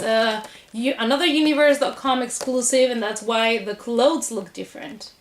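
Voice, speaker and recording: A woman's voice: fairly high pitch, fairly bright tone. A woman chatting casually in a haul video, enthusiastic and gushing about her collectible figures. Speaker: horror and collectibles reviewer.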